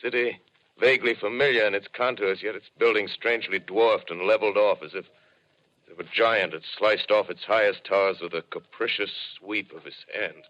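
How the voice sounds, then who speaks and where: An actor playing a weary, educated survivor in a ruined land, speaking in solemn, measured phrases in a 1930s radio drama style. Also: male voice, on the low side, steady tone, pushed and bright. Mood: solemn, haunted. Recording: dull, lo-fi 1930s radio recording.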